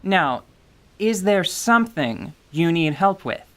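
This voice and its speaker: A woman playing a calm, authoritative tiefling warrior woman with a measured, dry delivery. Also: lowish voice. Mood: commanding. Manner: cool, measured and dryly authoritative. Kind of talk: radio drama acting.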